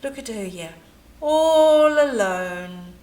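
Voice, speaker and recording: A woman storyteller with a high, very expressive voice. She reads a children's story at an unhurried pace, adding chatty little asides and questions as she goes. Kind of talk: animated bedtime story read-aloud.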